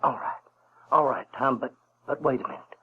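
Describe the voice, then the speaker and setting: An actor playing a folksy, earnest Southern American runaway boy who tells his adventures in halting, colloquial speech. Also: mid-range voice, slightly rough. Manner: halting, colloquial, repeats words. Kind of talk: first-person storytelling in radio drama. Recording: dull 1970s recording with music.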